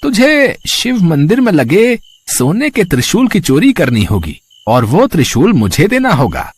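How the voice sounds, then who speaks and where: Guy doing a greedy rich village merchant for a cartoon, oily and fake humble, highish voice that swings around a lot. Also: wildly swooping pitch, unhurried, slightly rough, exaggerated voice. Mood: menacing, gloating. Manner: oily, showy politeness with self-satisfied chuckles. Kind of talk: Hindi moral-story cartoon voice acting.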